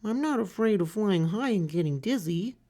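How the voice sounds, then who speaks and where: A timid little boy turtle from a children's storybook. The voice sits high and moves up and down a lot, earnest and polite, pleading in small careful phrases. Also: animated, normal speed, mellow.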